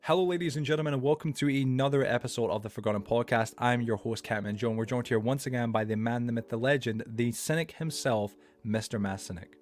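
A man's voice: mid-range voice, fast talker, few pauses, over music. A man in his early twenties hosting a casual podcast, rambling with lots of fillers and restarts. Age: 20s. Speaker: podcast host. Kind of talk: casual late-night podcast chat.

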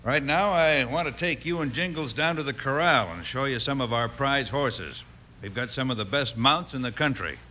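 An actor playing a genial, businesslike cavalry captain with an American accent in an old-time radio western, courteous and matter-of-fact. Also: male voice, medium pitch, normal speed, lightly acted. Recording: old radio recording, faint noise.

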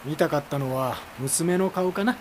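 An actor in a radio drama playing an earnest, deferential film assistant who speaks politely and pleadingly. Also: male voice, on the high side, moderate pace, slight background noise, lightly acted. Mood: concerned. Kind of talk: Japanese radio drama acting.

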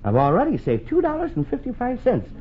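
Middle-aged American father, 1940s radio comedy. Blustery penny-pincher, very animated pitch, dull vintage sound. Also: fast, heavily acted. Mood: smug. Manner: blustery, emphatic scolding. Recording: muffled, noisy 1940s radio recording.

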